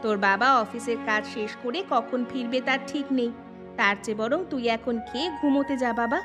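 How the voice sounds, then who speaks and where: A woman voicing a sharp-tongued, touchy young housewife and mother in a cartoon, nagging and quick to retort. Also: a little high, fairly even intonation, music in the background, lightly acted. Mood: coaxing, exasperated. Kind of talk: Bengali cartoon story acting.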